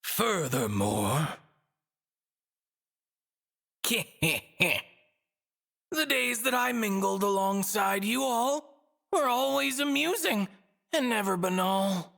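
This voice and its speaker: A man playing a lofty, amused godlike being in a video game cutscene, speaking in grand, deliberate, archaic phrases. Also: high-pitched, expressive, vocal fry, a bit bright, exaggerated voice. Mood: approving, amused. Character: lofty, ancient male godlike being granting wishes, amused and formal.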